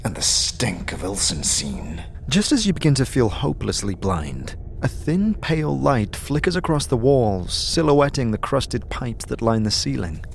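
A man narrating a scripted fantasy audio drama in a measured, dramatic storytelling manner. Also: medium pitch, big pitch swings, creaky, a little mellow, a little hiss or hum.

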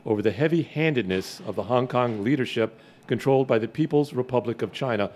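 A man's voice: mid-range voice, brisk, a little mellow. American man co-hosting a bar podcast. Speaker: podcast co-host. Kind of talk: conversational interview. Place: neighborhood bar.

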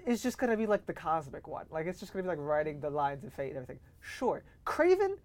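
A woman chatting casually about pop culture as a podcast guest, animated and opinionated, with a joking streak. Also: low voice, fast talker.

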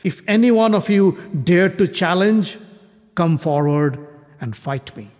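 A man's voice: high-pitched, expressive, a little slow, voice pushed up. A man voicing a bold, defiant young prince. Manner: forceful, challenging declarations. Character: bold, devoted young male prince and warrior. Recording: long hall reverb.

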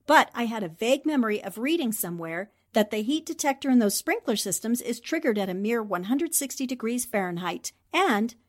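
A woman playing a shrewd, self-assured 1940s newspaperwoman in a radio drama, explaining things precisely and with gentle teasing. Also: a little high, lively intonation, fairly quick, hardly any pauses. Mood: proudly explaining.

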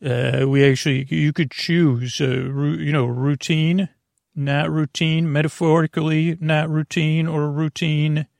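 A man with a creaky voice hosting a bedtime podcast, meandering and halting through a soothing monologue full of tangents and self-corrections. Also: on the high side, little pitch movement, darkish tone, no echo, studio quality. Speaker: podcast host. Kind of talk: rambling bedtime monologue meant to lull listeners to sleep.

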